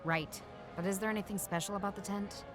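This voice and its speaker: A woman's voice: mid-range voice, fairly even intonation, quick pace, natural voice. An actress playing a guarded, inquisitive young woman detective in a video game, dry and matter-of-fact. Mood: probing, dry. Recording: music behind the voice.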